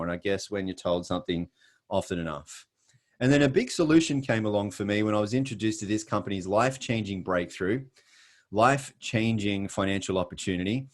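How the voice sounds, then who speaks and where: Australian male presenter, middle-aged, lower register, earnest and emphatic, on a video call. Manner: earnest, emphatic storytelling with self-deprecating jokes. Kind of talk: scripted motivational presentation.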